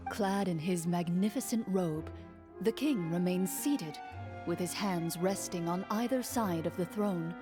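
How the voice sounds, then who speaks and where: A woman narrating a tabletop game as game master, calm and measured. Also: fairly low pitch, over music, lightly acted. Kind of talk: scripted narration.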